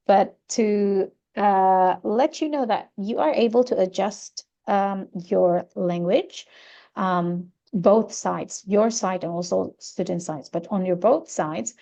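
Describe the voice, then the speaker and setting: A woman whose first language is Indonesian presents a teaching webinar, speaking in English with many hesitant fillers as she explains software features. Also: mid-range voice.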